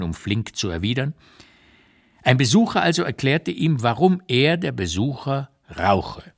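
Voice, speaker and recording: An actor reading as an elderly male writer who looks back with unsparing candour, in a deliberate, measured literary narration for radio. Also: fairly low pitch.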